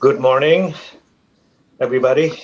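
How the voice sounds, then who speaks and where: A man from Indonesia gives a talk as a guest professor over a video call. He speaks at a middle pitch, hesitant and full of fillers and restarts. The audio sounds dull and lo-fi. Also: normal speed, a little hiss or hum. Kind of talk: online lecture talk.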